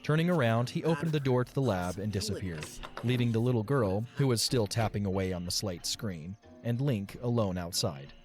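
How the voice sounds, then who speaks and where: Guy narrating an audio story, lowish voice, scripted, soft music behind. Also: expressive, a little fast.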